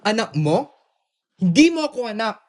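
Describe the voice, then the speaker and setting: A man's voice: high voice, sing-song, moderate pace. A man playing an earnest, protective and defiant young man in a radio drama. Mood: bitter defiance.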